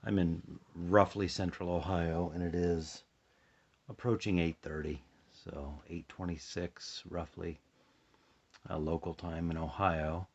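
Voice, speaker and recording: A slightly muffled home recording of an American man with a very deep voice. He sounds a bit sleepy and husky, talking in a casual, unhurried way as he thinks aloud through a demonstration. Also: level tone. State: a little sleepy. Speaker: hobbyist demonstrating a shortwave radio. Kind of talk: casual hands-on demonstration chat. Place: indoors at a tabletop, close to the camera.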